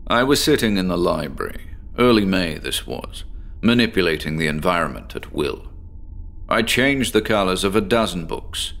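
An eccentric male professor, sleepless and obsessive, in a horror audio drama. The voice sits low. He confides his monologue with measured, articulate formality, choosing each phrase deliberately. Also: creaky, fairly bright tone, full character voice. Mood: confiding, intense.

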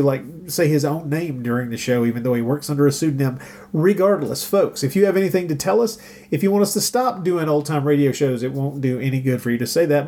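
A man hosts a podcast in a fairly high voice for a male speaker. He chats casually, with a wry and self-deprecating streak, joking as he addresses his listeners. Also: darkish tone. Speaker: podcast host. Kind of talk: casual chat.

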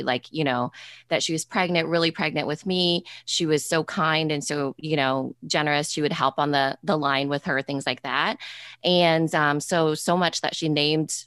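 A woman podcast co-host chatting casually, telling a story with relaxed fillers. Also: low-pitched, no room sound, studio quality. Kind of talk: casual interview chat.

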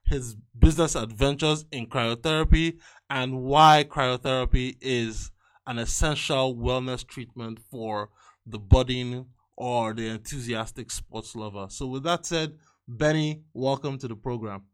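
A man hosting a podcast interview, reading his intro and sponsor spots in a measured way from a script. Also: medium pitch, fairly bright tone, smooth. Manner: measured, reads from a script, spells things out slowly. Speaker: podcast host.

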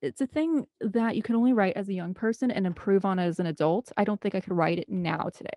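A woman's voice: mid-range voice, fast talker, dark tone, no room sound. An American woman in her thirties from the Midwest, talking casually and enthusiastically in a rambling, hyper way as an interview guest. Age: 30s. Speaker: guest, film composer and director.

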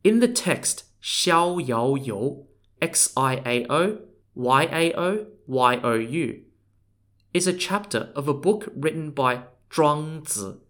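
A man's voice: a little high, lively intonation, slow pace, a bit bright. A man hosts a history podcast, reading a script earnestly and spelling out foreign names letter by letter. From Australia. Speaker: podcast host.

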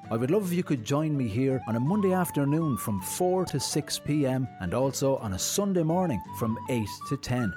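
A man reads a scripted promo as a radio presenter. His voice sits at a middling pitch, with music playing clearly behind him. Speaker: folk show presenter.

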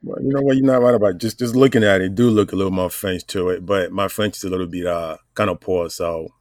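A man's voice: low-pitched, fairly even intonation, fast talker, a little mellow, no room sound, clean studio sound. A man hosting a podcast, chatting casually as he interviews a guest. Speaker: podcast host.

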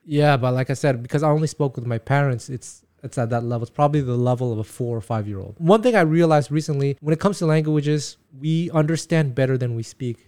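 A man from Canada, a native Taiwanese speaker, talking casually as an interview guest and softly swallowing some syllables. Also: medium pitch. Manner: fast, soft-ending syllables that get swallowed. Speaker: guest, musician and teacher.

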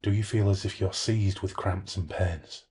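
A man voicing a sly, coaxing spirit with a soft whispery voice and a hidden smile, in a horror story reading. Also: sits low, steady tone, normal speed, breathy edge. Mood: taunting, menacing. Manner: secretly grinning, insinuating. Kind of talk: horror audiobook-style reading.

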